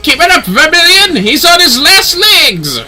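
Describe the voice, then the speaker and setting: A man's voice: very high-pitched, sing-song, a little slow, pushed and bright, faint music behind, pitched above the performer's own voice, full character voice. A man voicing an eloquent, effusive nobleman from a fantasy game, with florid, theatrical phrasing. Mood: rousing. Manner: florid, theatrical, formal speech. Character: flamboyant, eloquent nobleman, effusive patron of a workshop. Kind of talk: fantasy game dialogue read aloud.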